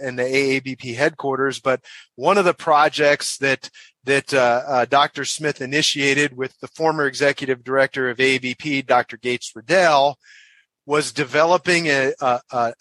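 A man's voice: medium pitch, sharp tone, no echo. A man hosting a professional podcast, introducing guests with many fillers and restarts. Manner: hesitant, frequent uh fillers and repeated words. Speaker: podcast host, association director.

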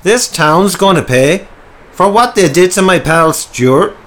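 A man's voice: high voice, expressive, unhurried, sharp tone, very echoey, in a large hall, far from the mic, pitched above the performer's own voice, full character voice. A man voicing a violent, menacing skinhead gang leader who snarls his threats in an audiobook story. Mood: snarling, vengeful.

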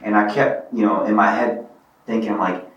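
A low-voiced man from Bosnia who grew up speaking another language tells his story in an earnest, reflective way. He sits a little back from the mic, and a strong room echo hangs on his words. His talk is an unhurried guest interview. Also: steady tone, far from the mic, lo-fi sound. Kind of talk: casual interview.

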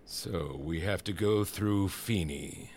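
An actor playing a powerful, menacing schemer in an audio drama, speaking in a gravelly, halting, deliberate way. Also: male voice, low-pitched, a little mellow, a little hiss or hum. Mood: quietly menacing. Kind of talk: scripted audio drama acting.

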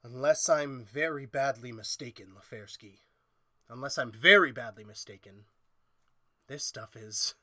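Man as sharp-voiced, irascible mad scientist. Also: mid-range voice, measured pace, dry recording, studio quality. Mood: manic, gloating. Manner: imperious, explosive, melodramatic. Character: irascible, egomaniacal male mad chemist. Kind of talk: 1930s horror radio drama acting.

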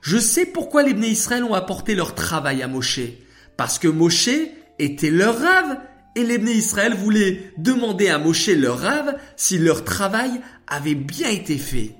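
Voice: medium pitch, big pitch swings, a little slow, a bit bright, soft background music, pitched above the performer's own voice. A storyteller voicing a curious, enthusiastic little girl who talks eagerly to her papa. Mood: enthusiastic, proud. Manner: eager, exclaiming, astonished questions.

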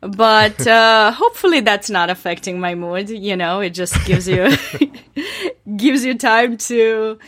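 A woman's voice: mid-range voice, expressive, slow pace, a bit bright. A woman from Romania with a Romanian accent, a podcast guest speaking in a thoughtful, hesitant way with many ums. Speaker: guest, chess grandmaster and coach. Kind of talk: interview conversation.

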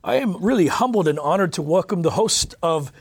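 A man hosts a broadcast interview in a somewhat high voice. He is animated and chuckles along with his guest, then drops into quiet asides. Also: fairly quick, slightly rough. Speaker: TV interview host.

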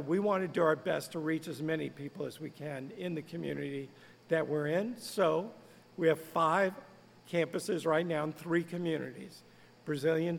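A man's voice: fairly high pitch, creaky, big-room sound. A man who is a pastor, speaking earnestly and conversationally in a podcast interview. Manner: conversational, earnest, lightly laughing.

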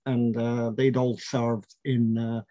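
A middle-aged man, telling about his family's past in a measured, reflective way, with fillers and restarts. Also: mid-range voice, flat delivery, mellow, dry recording. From Scotland. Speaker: interviewee. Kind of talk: oral history interview. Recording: dull, lo-fi and dry.

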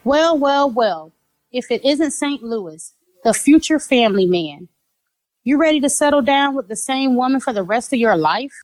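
A woman acting out a high-voiced, taunting flirtatious rival. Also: expressive, a little mellow, faint music behind, rough recording. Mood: mocking. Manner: teasing, drawn-out delivery. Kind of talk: audio drama acting.

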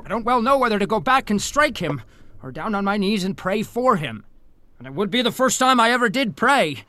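High-voiced wry old-time sailor. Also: male voice, big pitch swings, a little fast, bright, forceful tone, no room sound, full character voice. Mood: bewildered. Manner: salty, musing seafaring speech. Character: wry, puzzled, superstitious sailor, ship's second mate. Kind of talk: audio play acting. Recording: clear ambient background noise.

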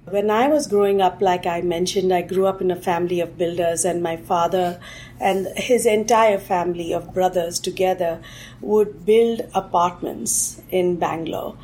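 A woman from India, a technology executive answering interview questions thoughtfully and often pausing on "uh". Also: fairly low pitch, fairly even intonation, darkish tone, slight background noise, low-quality recording. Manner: thoughtful, with frequent "uh" fillers.

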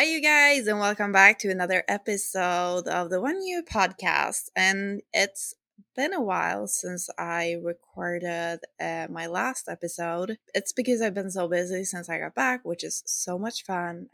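A woman's voice: lowish voice, creaky, bright, forceful tone, no echo, clean studio sound. A woman with a Swedish accent, a non-native English speaker, hosting a casual solo podcast in an informal, hesitant way, searching for words. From Sweden. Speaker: podcast host and fitness coach.

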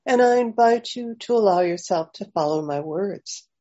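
A woman leading a guided meditation, offering gentle invitations with long pauses between phrases, in slightly dull audio. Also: medium pitch, lively intonation. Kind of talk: slow guided meditation narration.

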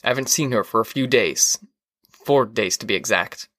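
A man's voice: mid-range voice, moderate pace, fairly bright tone, no room sound, natural voice. A man playing a troubled, exasperated husband from a dystopian novel, asking urgent, probing questions. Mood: quiet, probing.

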